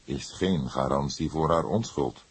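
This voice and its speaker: A man plays an older police detective in an audiobook with a low, raspy voice. He is calm and wry, lecturing without hurry and with a note of disapproval. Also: unhurried, heavily acted. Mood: disapproving. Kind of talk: audiobook character voice.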